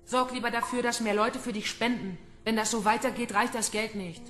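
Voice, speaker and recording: A woman's voice: a little high, fairly even intonation, a little fast, fairly bright tone, natural voice. An actress playing a cold, mocking female kidnapper in a radio crime drama, taunting with cool control. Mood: taunting, controlled. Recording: echoey pool-like room, music behind.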